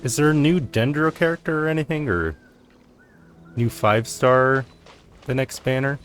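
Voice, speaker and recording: A man giving casual gameplay commentary, low-key and hesitant, thinking out loud as he plays. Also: moderate pace. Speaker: gaming streamer. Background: faint game music.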